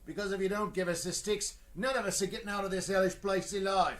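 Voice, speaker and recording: A man's voice: high-pitched, steady tone, fast, close to an everyday voice. An actor playing a nasty, callous henchman with a Cockney accent in an old-time radio adventure. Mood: sneering.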